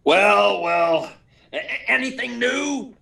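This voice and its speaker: An actor playing a harried, gruff newspaper editor in a 1940s-style radio serial, stammering and barking clipped orders, recorded at a distance. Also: male voice, sits high, expressive, takes it slowly, sharp tone, heavily acted. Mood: gruff, hurried. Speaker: actor in a live radio play. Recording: distant, far from the mic.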